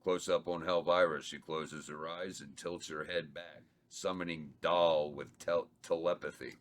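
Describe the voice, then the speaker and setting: A man reading stage directions aloud at a table read, slow and a little halting. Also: rumbling low. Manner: slow, flat, stumbles over words. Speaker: script reader of stage directions.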